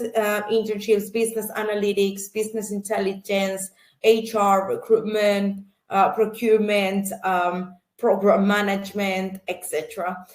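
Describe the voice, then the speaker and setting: Casting: female recruiter, halting delivery with lots of "um"s, roomy echo. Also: medium pitch. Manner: halting, many fillers and restarts. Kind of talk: online presentation.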